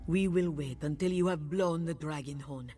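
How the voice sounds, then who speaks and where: Woman voicing a serious tired cat person from a fantasy game, measured and solemn, music behind. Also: deep voice, dark tone, natural voice. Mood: grave, resolute. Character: grave, weary cat-folk villager, measured and dignified. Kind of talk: scripted fantasy game dialogue.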